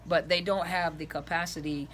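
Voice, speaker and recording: A woman counselor with a raspy voice gives informal advice to viewers, rambling earnestly and restarting her phrases, recorded indoors on a camera set on the floor. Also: on the low side, fairly even intonation, normal speed, a bit bright, a little hiss or hum. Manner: gestures with her hands as she talks.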